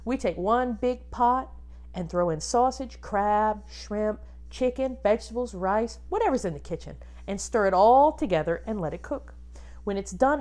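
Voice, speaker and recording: A woman teacher reading a picture book aloud to children expressively, pausing to ask them questions and point things out. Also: mid-range voice. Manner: animated, addresses young children with questions.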